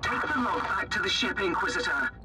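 A woman's voice: medium pitch, expressive, a little fast, pushed and bright, faint music behind. A woman playing a proud, formal noblewoman who captains a ship in a video game, composed and dutiful. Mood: formal, informative.

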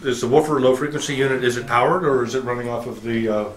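A man's voice: mid-range voice, fast talker. Male interviewer in an echoey room. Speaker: video reviewer and interviewer. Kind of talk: casual on-camera interview chat. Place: hotel room at an audio show. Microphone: camera microphone, held by the speaker. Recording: echoey room, faint background room noise.

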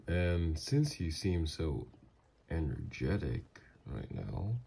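A man playing a doting, easily flustered mafia boss lover, gruff yet flirtatious, in an intimate ASMR roleplay. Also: very deep voice, dark tone, heavily acted.

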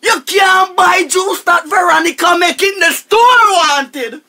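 A pompous, tight-fisted Jamaican householder acted by a man holds forth in a radio family drama. The voice is very high and forceful. He scolds emphatically, repeating himself with great indignation. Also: slow, exaggerated voice. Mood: outraged. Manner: emphatic, declamatory, repetitive scolding.

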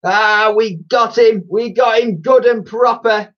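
Man putting on a nasty male twin villain, high, husky, theatrical, muddle-headed, spiteful, storybook. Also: big pitch swings, unhurried, dull audio, full character voice. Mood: gleeful malice. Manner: theatrical, snapping, haughty.

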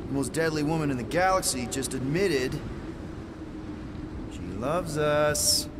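Man playing a slow-talking, wry space outlaw, casual delivery. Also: on the high side, background noise, close to an everyday voice. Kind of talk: video game cutscene acting.

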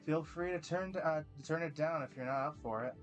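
A man's voice: on the high side, a little fast, highs cut off, faint music behind, voice pushed up, natural voice. A man voicing a teasing, brash older male college buddy in a visual novel. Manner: playful, ribbing, brash.

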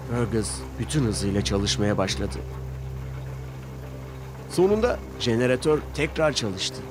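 A man's voice: medium pitch, animated, measured pace. A man narrating a scripted children's story as its storyteller. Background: music under the voice.

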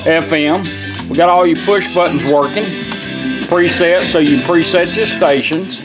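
Folksy man, slightly high-voiced. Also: moderate pace, over loud music. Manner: folksy, plain-spoken. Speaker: presenter, car radio restorer. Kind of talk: informal product demonstration. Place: indoor workshop bench.

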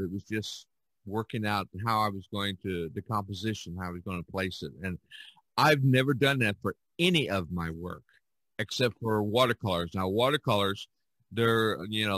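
A man's voice: on the low side, a bit bright, no room sound, low-quality recording. A man, an artist hosting a podcast, chatting casually about his own experience. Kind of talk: casual chat.

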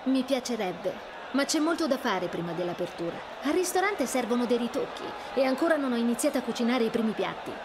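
Woman playing a high-voiced hopeful young heroine. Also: lively intonation, fairly quick, hardly any pauses, noisy background, close to an everyday voice. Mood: kind, earnest. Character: young woman restaurateur, hopeful, hardworking, kind. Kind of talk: animated game dub. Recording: crowd and street ambience behind.

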